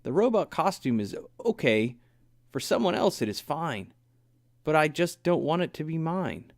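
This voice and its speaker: A man's voice: on the high side, big pitch swings, normal speed, gravelly, dry recording, studio quality, voice pushed up. A man voicing an eager, imaginative young boy bear cub in an earnest, exclamatory storybook read-aloud. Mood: wistful, decided. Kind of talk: children's storybook character voice.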